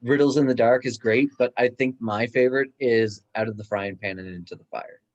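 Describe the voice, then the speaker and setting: A man's voice: medium pitch, fairly quick, dull audio. A man chatting casually and enthusiastically in a book discussion. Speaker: book club guest, bookstore worker.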